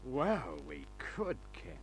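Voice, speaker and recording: An actor playing a gruff, sarcastic police inspector in an old-time radio crime drama, curt and irritable. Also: male voice, mid-range voice, wildly swooping pitch, slow, faint music behind. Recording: noisy humming old radio recording.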